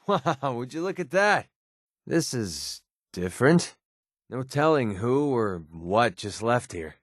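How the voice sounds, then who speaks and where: A man's voice: medium pitch, dry recording, studio quality. An actor playing a wry, jaded young male mercenary in a video game, with a casual, sarcastic, grumbling manner. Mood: relaxed, observant. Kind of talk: video game companion dialogue.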